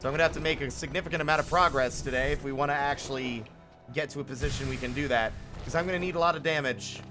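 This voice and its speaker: Higher-voiced man streaming a game over music. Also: racing. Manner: expressive, sweary, chatting to viewers. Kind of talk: casual live-stream gameplay chat.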